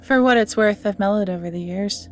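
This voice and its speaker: A woman's voice: medium pitch, brisk, close to an everyday voice. A woman playing an ancient banished evil magical being in an audio roleplay, flirty and world-weary, musing theatrically and often sinking to near-whispers, with music behind. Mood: rueful. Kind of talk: scripted audio roleplay acting, addressing the listener.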